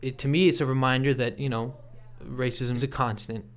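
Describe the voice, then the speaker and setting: A man giving reflective interview commentary as a podcast guest, in a measured, thoughtful and halting way. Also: medium pitch, steady tone. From Canada. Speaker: podcast guest, sports reporter.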